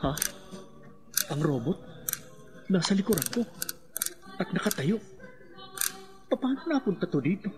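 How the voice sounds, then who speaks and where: An actor playing a doting father of a small boy in a radio horror drama, expressive and coaxing. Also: high-pitched, unhurried, long pauses. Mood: puzzled, uneasy. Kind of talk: radio horror drama acting, thinking aloud. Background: music.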